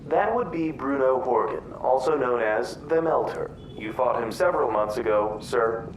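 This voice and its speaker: An actor playing a polite, unflappable computer butler who speaks formally and deferentially in an audio drama. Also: male voice, medium pitch, expressive, normal speed, reverberant, natural voice, rough recording. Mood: polite, composed. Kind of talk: superhero audio drama acting.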